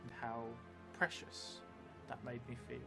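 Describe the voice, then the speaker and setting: A man in his forties, narrating a solo role-playing game and thinking aloud in a musing, halting, muttering way. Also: mid-range voice, fairly even intonation, gravelly. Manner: musing, halting, drifting into muttering while writing. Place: indoor theatre stream set, seated at a table. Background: background music.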